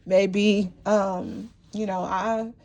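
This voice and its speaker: A woman giving a rambling, encouraging tarot reading to her viewers, her voice worn and going hoarse, with many fillers and restarts. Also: mid-range voice, flat delivery, very slow, a little mellow. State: losing her voice, clearing her throat. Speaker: tarot reader. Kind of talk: intuitive tarot reading to camera.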